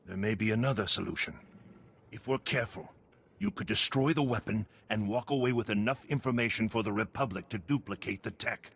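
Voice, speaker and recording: Gravelly, low-voiced undercover agent. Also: male voice, fairly quick, a bit bright. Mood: earnest, approving. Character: male Republic intelligence agent undercover, urgent, secretive, earnest. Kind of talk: video game voice acting.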